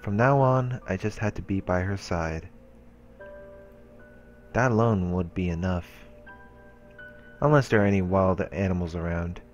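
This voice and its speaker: A let's play host reading a visual novel's narration aloud, with casual asides. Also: male voice, sits low, unhurried, pauses often, highs cut off, faint music behind.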